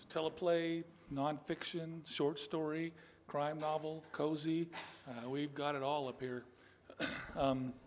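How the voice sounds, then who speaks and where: Guy moderating a panel, kinda higher voice, lots of ums, faint hiss. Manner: halting, many ums. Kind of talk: hesitant introductions.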